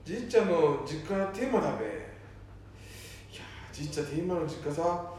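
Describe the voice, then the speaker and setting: A worried son acted by a man frets in folksy Nanbu dialect, in a high voice for a man. It is a homemade radio drama, with heavy echo and a distant microphone. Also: lively intonation, normal speed, a little mellow, natural voice. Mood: exasperated. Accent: Nanbu dialect, northern Tohoku. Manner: folksy, fretting. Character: worried, exasperated eldest son of a wandering father. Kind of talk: amateur radio drama acting. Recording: very echoey room, distant mic, faint noise.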